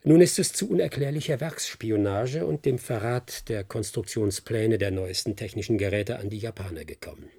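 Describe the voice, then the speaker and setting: An actor playing a taciturn, world-weary private detective in a German radio play, dry, terse and deliberate. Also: male voice, lowish voice, creaky, warm tone, slightly rough. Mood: measured, firm.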